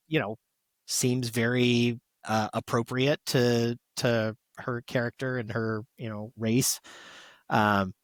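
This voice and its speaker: A man's voice: medium pitch, dry recording. A man co-hosting a podcast, giving enthusiastic, conversational review commentary. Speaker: podcast co-host.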